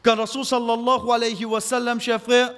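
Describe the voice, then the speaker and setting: Male preacher, Mauritian Creole accent, high-pitched, impassioned and exhortative, stand mic in a reverberant mosque. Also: level tone, a little fast, fairly bright tone. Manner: impassioned, exhortative, repeating phrases for emphasis. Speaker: preacher giving a Friday khutbah. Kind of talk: sermon with Arabic quotations. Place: mosque, indoors at the pulpit. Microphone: microphone on a stand, close. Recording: echoey hall with long reverb.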